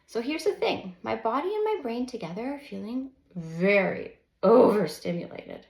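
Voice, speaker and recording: Woman playing storybook mum, gentle, patient, overwhelmed, animated intonation, fry-edged voice, echoey room. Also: a little high, normal speed. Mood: patient explaining. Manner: patient, tender, emphatic explaining. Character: gentle but overwhelmed mother explaining to young children. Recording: roomy, echoing sound.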